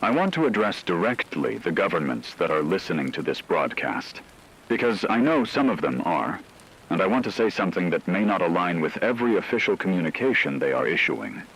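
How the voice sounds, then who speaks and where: A man playing a grave, resolute veteran crisis broadcaster, delivering a solemn, deliberate monologue on emergency radio. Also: low voice, sing-song, a bit bright, husky, heavily acted, low-quality recording. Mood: earnest, urgent. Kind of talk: scripted dramatic radio monologue.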